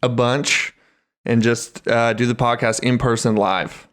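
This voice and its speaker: A man's voice: medium pitch, steady tone, unhurried, fairly bright tone. A man co-hosting a podcast in an easygoing, agreeable, casual way. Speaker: podcast co-host. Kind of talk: casual chat.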